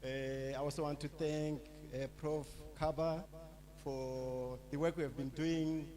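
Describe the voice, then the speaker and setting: A middle-aged man from Zimbabwe giving a public lecture, talking in a halting way with many fillers and restarts. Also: a little high, little pitch movement, warm tone. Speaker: lecturer, associate professor.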